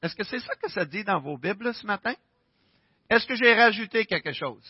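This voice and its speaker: A man's voice: high-pitched, lively intonation, normal speed, breathy edge, dry recording, muffled recording. A pastor preaching in French with an English-Canadian accent, his first language English, who talks to the congregation with rhetorical questions. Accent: English-speaking Canadian. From Winnipeg, Canada. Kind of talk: sermon.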